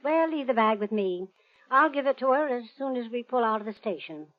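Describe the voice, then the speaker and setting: A high-pitched older mother played by an actress speaks in a muffled, lo-fi radio drama. She is mild and motherly, fussing and cautioning with a dry, teasing edge. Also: animated, a little fast, close to an everyday voice. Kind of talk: old-time radio drama acting. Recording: muffled lo-fi old radio recording.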